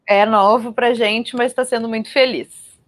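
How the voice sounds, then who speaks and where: A woman's voice: fairly high pitch, fairly even intonation, unhurried, no room sound. A Brazilian woman hosting and interviewing in a conversational style on a live arts programme.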